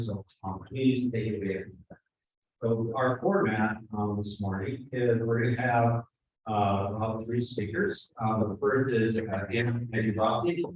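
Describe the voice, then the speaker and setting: A man introducing panel speakers at a conference, halting with many fillers and restarts. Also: on the low side. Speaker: session moderator. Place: conference room. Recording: echoey hall from far off, dull sound.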